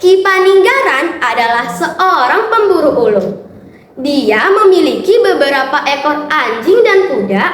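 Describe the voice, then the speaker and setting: A woman telling a folktale as scripted narration, reciting it in a deliberate, expressive way. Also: high voice. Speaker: storytelling contestant. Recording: roomy echo with clear background noise.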